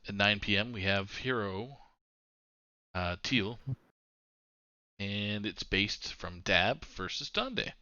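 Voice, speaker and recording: A man's voice: on the low side, slow, long pauses, no echo, highs cut off. A man hosting a card game stream, chatting casually and reading out upcoming matches with plenty of fillers. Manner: relaxed, rambling with fillers. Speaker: esports stream host. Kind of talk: casual chat and announcements.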